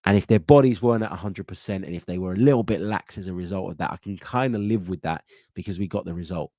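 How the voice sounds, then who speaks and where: A man's voice: low-pitched, fast, mellow, no echo, clean studio sound. A man hosting a football channel, giving a casual match preview with a blocked nose, thinking aloud with fillers and restarts.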